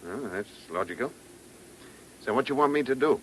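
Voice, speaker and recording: A man's voice: medium pitch, animated, moderate pace, close to an everyday voice, rough recording. A man with a British accent playing a dry, shrewd chief inspector in a radio drama, wry, clipped and questioning. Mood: guarded, dry. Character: dry, shrewd male police chief inspector, teasing superior. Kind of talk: mid-century British radio drama acting. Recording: old radio recording with faint hiss.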